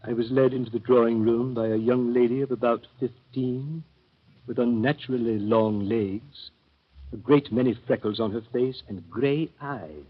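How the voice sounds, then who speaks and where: An actor playing a nervous, fussy man who keeps clearing his throat, narrating in a 1930s radio drama. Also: medium pitch, steady tone, moderate pace, close to an everyday voice. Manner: prim, throat-clearing, precise. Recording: dull old radio broadcast.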